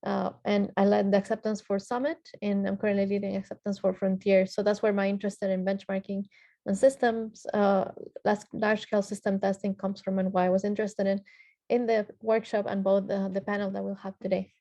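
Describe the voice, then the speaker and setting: Woman, from Ecuador, panel moderator, halting delivery, monotone, warm tone. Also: medium pitch. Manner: hesitant, many filler sounds and restarts. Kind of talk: reading panelist introductions.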